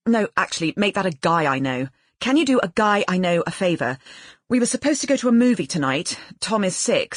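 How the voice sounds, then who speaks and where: A woman narrator voicing a breezy, chatty female friend with a hurried, rambling, insistent manner in an audiobook. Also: mid-range voice, slightly rough, no echo, clean studio sound, pitched above the performer's own voice.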